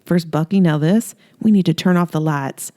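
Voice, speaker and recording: A woman voicing a steady, blunt man telling it straight during a monster attack, in an audiobook reading. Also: fairly low pitch, moderate pace, natural voice. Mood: grim. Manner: blunt, plain-spoken.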